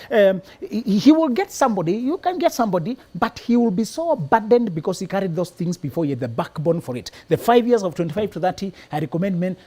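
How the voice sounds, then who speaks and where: A male psychologist from Kenya gives relationship advice on a live show. His pitch swings widely as he talks. He sounds preachy and playful, often breaking into laughter. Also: dark tone. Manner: preachy, laughs often, teasing punchlines, repeats words. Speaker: psychologist guest.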